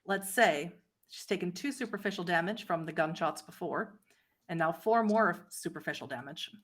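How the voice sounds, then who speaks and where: A woman with a fairly low voice leads a tabletop roleplaying game. She narrates scenes and rulings as game master, with a storyteller's dramatic delivery. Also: moderate pace, fairly bright tone.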